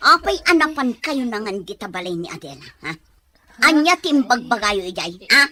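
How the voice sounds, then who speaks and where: Woman acting a sharp, high-voiced scolding stepmother. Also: very animated, brisk, slight background noise. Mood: angry, threatening. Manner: sharp, accusing questioning. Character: harsh, scolding stepmother aunt, mean and quick-tempered. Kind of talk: Ilocano audio drama acting.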